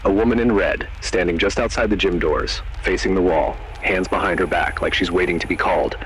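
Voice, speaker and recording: A man narrating a scripted horror story in the second person, in short, measured, suspenseful phrases. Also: deep voice, a bit bright, slight background noise, rough recording. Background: music.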